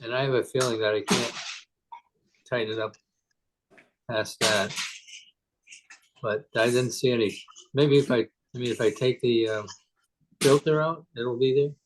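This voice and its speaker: A man's voice: medium pitch, steady tone, measured pace, pauses often, slight background noise, rough recording. A man talking casually on a technical group call as a club member asking repair questions, thinking aloud in a hesitant way.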